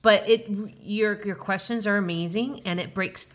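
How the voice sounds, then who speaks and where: A woman's voice: medium pitch, normal speed, fairly bright tone. A woman in her fifties hosting a podcast, talking in a chatty, agreeable way with many fillers. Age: 50s. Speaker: podcast host. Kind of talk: casual chat.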